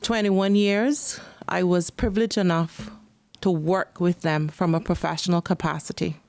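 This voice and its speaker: A woman's voice: fairly low pitch, fairly even intonation, normal speed, a little mellow, dry recording. A Caymanian woman giving an earnest, emphatic campaign speech and repeating her key phrases. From Cayman Islands. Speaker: election candidate.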